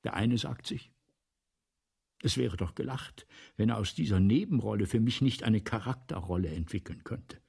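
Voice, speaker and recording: An actor playing an ailing, embittered, self-dramatizing playwright in a German radio play, speaking theatrically in rhetorical repetitions, with a husky, gravelly voice that drops to whispers. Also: male voice, on the low side, moderate pace. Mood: exasperated, ranting. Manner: theatrical, rhetorical, building repetitions then sudden hushes.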